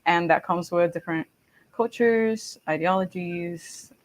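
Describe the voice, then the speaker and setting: Slow-talking young woman. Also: on the low side. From Puerto Rico. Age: young adult. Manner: slow, thoughtful, trailing off into soft asides. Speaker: student interviewer. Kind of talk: academic podcast interview.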